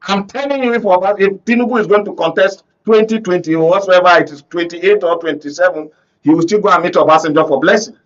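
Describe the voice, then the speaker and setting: High-voiced, emphatic Nigerian man. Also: fast, highs cut off. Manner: emphatic, argumentative, with rhetorical questions. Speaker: guest political commentator. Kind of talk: political panel discussion. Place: radio and TV studio.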